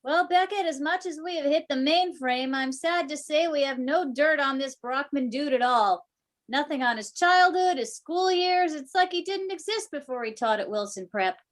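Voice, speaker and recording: A woman's voice: high-pitched, expressive, moderate pace. A woman playing a geeky, wisecracking conspiracy theorist researcher in an amateur readers theater reading. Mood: breezy.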